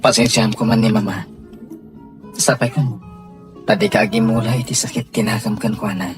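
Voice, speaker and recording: A man playing an earnest, apologetic young gentleman suitor in an Ilocano radio drama, with an Ilocano accent. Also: lowish voice, a little fast, music in the background, natural voice. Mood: earnest, pleading.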